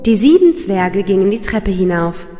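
A woman's voice: mid-range voice, expressive, normal speed. Female storyteller for children's fairy-tale narration, mid-range, long reverb over a music bed.

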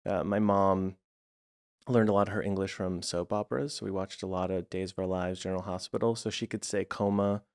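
Reflective, low-voiced man in his forties. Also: fairly even intonation, a little fast, a little mellow. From United States (Los Angeles area). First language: Croatian. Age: 40s. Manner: reflective and meandering, many "like" fillers. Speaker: guest, art therapist. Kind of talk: casual conversational interview.

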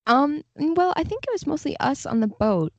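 A woman's voice: high voice, sing-song, moderate pace, dry recording. A woman guest talking in a casual podcast interview, chatty and enthusiastic. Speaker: guest, literary translator.

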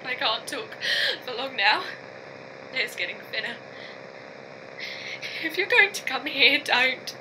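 A woman's voice: high voice, lively intonation. A woman playing a frightened, grieving astronaut engineer in a science fiction audio drama, short of breath and speaking in broken sentences. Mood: pleading, fearful. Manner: halting, broken sentences with repeated words. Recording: faint hum and noise behind.

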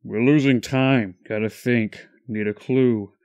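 A man voicing an upbeat male bear leader who thinks out loud in an eager, plotting way. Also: mid-range voice, steady tone, slow pace, highs cut off. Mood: pondering.

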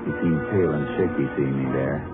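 An actor playing a shrewd, matter-of-fact Texas Ranger detective in a clipped 1950s radio drama style. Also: male voice, a bass voice, fairly even intonation, a little fast. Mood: grim, matter-of-fact. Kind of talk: 1950s radio drama acting, narration and dialogue. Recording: muffled 1950s radio recording, music.

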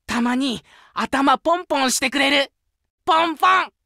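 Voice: sits high, moderate pace, bright, forceful tone, no room sound, clean studio sound. An actor playing an eager, childlike, fiercely loyal wolf guard dog in an anime game, speaking in simple, exclaimed phrases. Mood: excited, pleading. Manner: simple broken childlike speech, exclaiming, needy for praise. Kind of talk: anime game character voice lines.

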